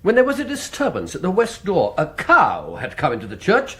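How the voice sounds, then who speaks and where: An actor playing a zealous, self-important exorcist priest in a radio drama, speaking in a pompous, theatrical way. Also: male voice, sits high, huge pitch swings, brisk. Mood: aggrieved, earnest.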